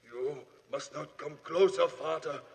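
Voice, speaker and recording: An actor playing a desperate, dying German murderer in an old radio drama, pleading in a breathy German accent. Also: male voice, on the high side, a little slow. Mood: anguished. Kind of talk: 1950s radio drama acting. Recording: old radio broadcast, hall reverb.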